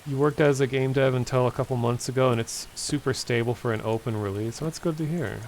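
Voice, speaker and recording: A man streaming a game, casually answering chat and talking through play, hoarse from losing his voice after hours of talking. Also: mid-range voice, a little fast, slight background noise. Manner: relaxed, easygoing, answers chat questions. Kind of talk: casual gameplay commentary, reading chat.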